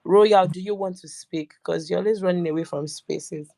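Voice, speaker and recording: A woman with a low voice, chatty as she moderates and brings speakers up in turn. The recording is dry and close. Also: moderate pace, gravelly, mellow, no room sound. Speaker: co-host moderating the audio room. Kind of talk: casual moderation of a live audio chat.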